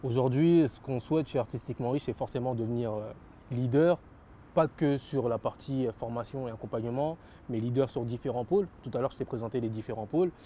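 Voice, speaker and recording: A man's voice: medium pitch, a little fast, mellow, slight background noise. A young French man giving earnest interview answers about his rap label, repeating phrases and using many fillers. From France.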